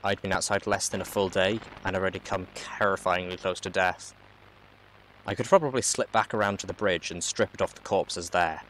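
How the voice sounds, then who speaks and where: A man reading fan fiction aloud in an expressive storybook narration style. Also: deep voice, normal speed, slight background noise, rough recording.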